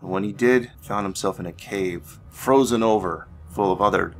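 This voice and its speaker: A man narrating fantasy lore as a game roleplay storyteller, solemn and deliberate, with dramatic pauses. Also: low voice. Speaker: host narrating a video game roleplay series.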